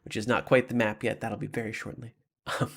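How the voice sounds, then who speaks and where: Creaky-voiced male game master. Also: fairly low pitch, flat delivery, fairly quick, a bit bright. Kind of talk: improvised tabletop game narration.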